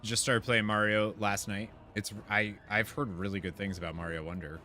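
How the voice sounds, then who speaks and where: A man's voice: fairly low pitch, steady tone, normal speed, sharp tone, faint music behind. A man giving casual, rambling and enthusiastic gameplay commentary as he chats with his viewers. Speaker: video game streamer.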